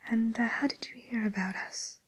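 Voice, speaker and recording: A woman playing a polite, attentive receptionist at a fairy-tale dating agency in a soft, breathy, hesitant whisper, as an ASMR roleplay. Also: a little high, steady tone, moderate pace, rough recording.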